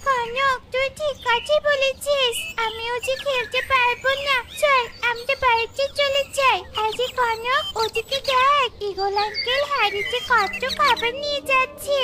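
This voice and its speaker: A woman's voice: very high-pitched, steady tone, a little slow, hardly any pauses. A woman voicing a hungry little bird chick in a cartoon, childlike and pleading. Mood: eager hunger.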